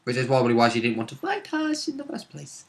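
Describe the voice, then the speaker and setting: A man's voice: medium pitch, wildly swooping pitch, quick pace, a little hiss or hum. A man in casual, jokey banter as a player recapping a tabletop game, often interjecting and swearing.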